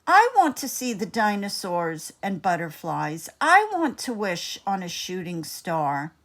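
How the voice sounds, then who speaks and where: A woman's voice: on the low side, sing-song, unhurried, exaggerated voice. A woman voicing an eager, anxious little boy mouse, a classroom pet in a storybook, with a wishful, plaintive manner. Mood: longing.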